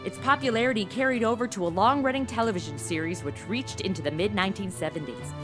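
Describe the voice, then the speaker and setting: A woman's voice: medium pitch, quick pace, over music, close to an everyday voice. An actress playing a snarky, irreverent young modern woman in a comedy audio drama, with casual slangy delivery. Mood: informative.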